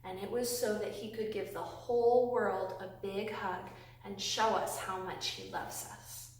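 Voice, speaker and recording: A woman reading aloud and teaching young children, with simple, deliberate, emphatic phrasing, recorded in a classroom. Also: medium pitch, steady tone. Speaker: children's Bible storytime host, reading aloud. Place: indoors, a classroom. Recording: very echoey room, distant microphone.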